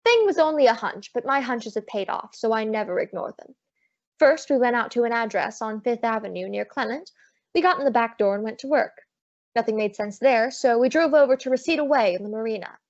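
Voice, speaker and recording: Sassy high-voiced lady detective played by a woman. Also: darkish tone, no room sound, natural voice. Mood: wry narration. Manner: wry, brisk, teasing. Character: sassy, quick-witted female private detective, in command. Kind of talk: old-time radio drama acting with first-person narration. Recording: dull lo-fi audio.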